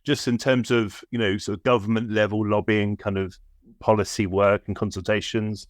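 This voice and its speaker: A man's voice: lowish voice, level tone, moderate pace, dry recording. A man hosting a podcast, asking questions in a chatty way and hedging with fillers. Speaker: podcast host, foster carer. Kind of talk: conversational interview.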